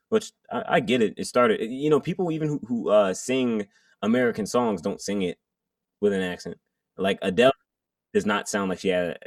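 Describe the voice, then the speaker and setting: Casting: male rapper guest, very low voice, rambling casual speech, dry sound a bit off-mic. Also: creaky, no echo, recorded from a distance. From Jamaican heritage. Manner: loose, rambling, many fillers and restarts. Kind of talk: casual interview chat.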